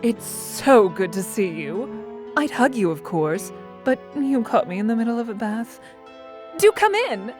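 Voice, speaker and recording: A woman's voice: a little high, big pitch swings, a little slow, darkish tone, faint music behind. A woman playing a languid, regal goddess in an audio drama, with airy, posh phrasing, haughty yet affectionate. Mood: delighted.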